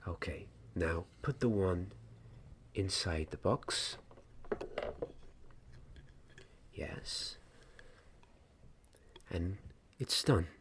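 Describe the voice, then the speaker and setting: Man playing a deep, hushed, slow-talking wand maker. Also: animated, exaggerated voice. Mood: calm, kindly. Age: young adult. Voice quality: soft, breathy, partly whispered. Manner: slow, hesitant, deliberate. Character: gentle, patient male wand maker mentoring an apprentice. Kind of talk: ASMR roleplay. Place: small dim indoor room. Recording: clear background noise and ambience.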